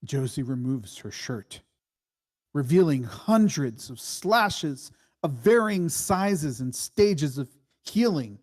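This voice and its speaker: A man reading screenplay stage directions as scripted narration in a measured, matter-of-fact way. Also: on the high side, sing-song, darkish tone.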